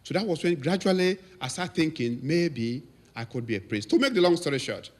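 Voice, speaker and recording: Nigerian priest in his fifties, anecdotal speech to his congregation, lively when quoting others. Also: a little high, brisk. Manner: storytelling, laughs often, lively quotes. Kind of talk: anniversary thank-you speech.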